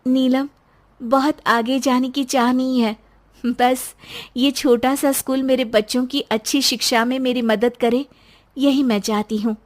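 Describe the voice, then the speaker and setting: A woman's voice: sits high, fairly even intonation, measured pace, pitched above the performer's own voice. A woman voicing an earnest, determined young wife and mother in a story, polite and pleading.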